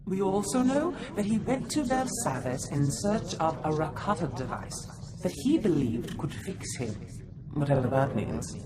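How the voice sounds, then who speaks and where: A woman's voice: deep voice, very animated, a little slow, a crowd in the background. A woman voicing an earnest, formal, scholarly advisor in a game cutscene. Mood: earnest, explanatory.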